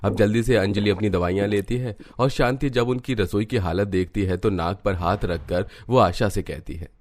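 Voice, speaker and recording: Low-voiced male cartoon narrator. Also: moderate pace, a little mellow.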